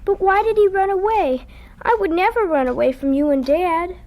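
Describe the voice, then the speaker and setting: A woman's voice: very high voice, normal speed, a little mellow. A woman playing a sensitive, questioning young daughter in a radio drama, earnest and a little stubborn. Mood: upset, questioning.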